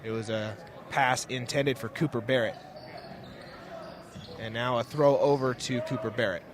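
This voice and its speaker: A man's voice: mid-range voice, fairly even intonation, normal speed, crowd noise. A young man doing live radio play-by-play commentary of a basketball game from inside a school gym. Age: young adult. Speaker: play-by-play announcer. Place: indoor school sports gym. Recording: noisy courtside gym, ball bounces behind. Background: gym ambience, bouncing basketballs, clear noise.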